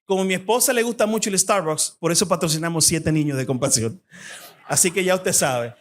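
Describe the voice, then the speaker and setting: Fast-talking dominican guy preaching in church, high voice, really fervent. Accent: Dominican Spanish. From Dominican Republic. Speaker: guest speaker at a church. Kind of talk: church appeal and testimony.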